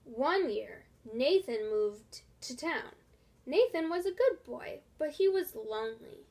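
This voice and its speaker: High-voiced woman reading slowly. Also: sing-song, a little mellow. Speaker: storybook reader. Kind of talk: scripted children's story narration.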